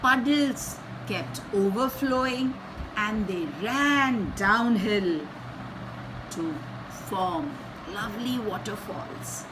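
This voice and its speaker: A woman reading a children's story aloud in an expressive, dramatic and teacherly way, pausing between short phrases and repeating words for effect. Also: mid-range voice, noisy background.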